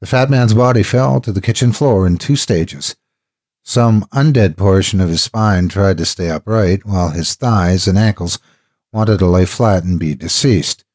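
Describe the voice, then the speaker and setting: A man's voice: deep voice, a little slow, darkish tone. A man narrating scripted horror stories dramatically as host, close to the microphone.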